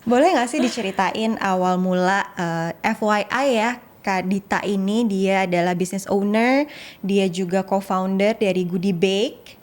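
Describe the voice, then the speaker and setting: A woman with a mid-range voice hosts a relaxed podcast chat. She eases into questions and keeps the guest going with many small murmurs of agreement. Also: medium pitch, unhurried. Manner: warm interviewer, many "mm-mm" and "iya" backchannels. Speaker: podcast host. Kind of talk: casual interview, mixing Indonesian and English.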